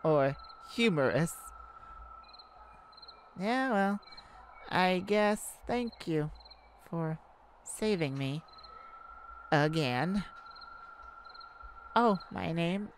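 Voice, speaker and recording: A woman voicing a wounded fallen-star alien in a roleplay audio drama, proud, wry and bewildered by humans, speaking haltingly in slightly formal wording. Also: lowish voice, animated, a little hiss or hum. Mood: relieved, wry.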